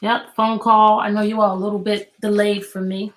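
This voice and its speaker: A woman teacher reading a children's book aloud, expressive and deliberate, prompting young listeners with questions. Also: medium pitch.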